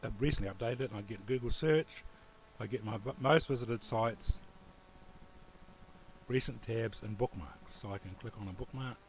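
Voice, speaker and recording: A man with a New Zealand accent giving a relaxed, rambling screen-recorded computer tutorial. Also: on the low side, normal speed, a little hiss or hum, poor audio. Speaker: tutorial presenter.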